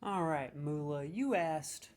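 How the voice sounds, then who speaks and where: A man's voice: fairly high pitch, slow, darkish tone. A man in a comedic role, scoring lines with sarcastic, mock-serious, playfully exaggerated commentary.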